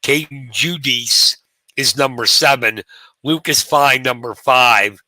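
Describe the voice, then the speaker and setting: A hoarse man calling wrestling from a press box. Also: medium pitch, bright, forceful tone, dry recording. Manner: rapid rundown of rankings, conversational. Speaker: wrestling commentator. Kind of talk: live sports commentary. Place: press box at a sports venue.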